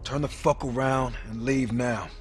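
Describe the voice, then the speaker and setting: A hostile hired thug, played by a man in a dramatic video game. His pitch is average for a man. Music runs clearly behind the voice. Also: steady tone, a little slow, natural voice. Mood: threatening.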